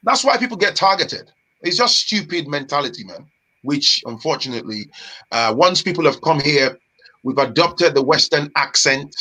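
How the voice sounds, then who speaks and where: A man from Nigeria, a guest in a casual chat, talking in an animated, emphatic way with street slang. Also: a little high, moderate pace, rough recording.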